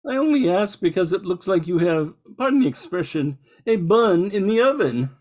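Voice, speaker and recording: A man acts out a harried bakery owner in a 1940s-style radio play. His voice is high and swoops up and down, earnest, polite and easily flustered. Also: expressive, normal speed, darkish tone. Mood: curious. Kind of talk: 1940s radio drama acting.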